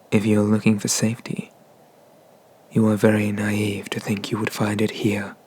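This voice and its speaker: Man voicing a slow, low, haughty dragon god. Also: darkish tone, slightly rough. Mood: mocking, menacing. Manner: disdainful, menacing, deliberate, often slipping into whispers. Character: haughty, vengeful dragon god exiled to a temple. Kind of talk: ASMR roleplay.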